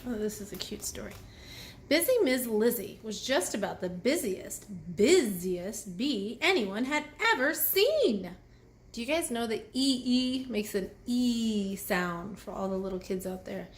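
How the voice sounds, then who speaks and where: Animated woman reading to young children. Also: fairly high pitch, unhurried, a little hiss or hum. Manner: addresses young children directly with questions and commentary. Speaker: children's read-aloud host and teacher. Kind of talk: picture book read aloud with phonics asides.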